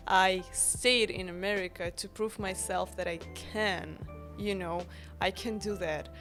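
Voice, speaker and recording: Young woman, Ukrainian accent, casual chat, lots of fillers, medium pitch. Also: steady tone, unhurried, over music. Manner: chatty, many fillers, non-native grammar. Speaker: guest, bakery founder.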